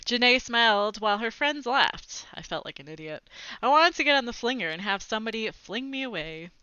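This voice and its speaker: Woman storyteller, bright forceful voice, very lively pitch, expressive children's read-aloud, slightly dull lo-fi sound. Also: fairly quick, smooth, no echo. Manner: animated, dramatizing dialogue, commenting to young listeners.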